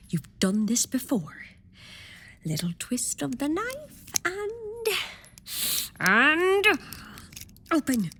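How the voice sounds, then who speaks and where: An actress playing a hot-tempered, foul-mouthed pirate prisoner with an Irish accent in an audio drama. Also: female voice, sits high, exaggerated voice. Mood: frustrated, straining. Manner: blunt, sweary, excitable.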